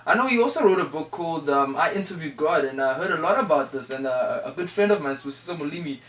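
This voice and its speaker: A man with a South African accent hosting a casual interview show, informal and excitable, often saying "man" and "like". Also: a little high, fast talker, distant mic. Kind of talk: casual radio interview chat. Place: studio. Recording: echoey room, off-mic, background noise.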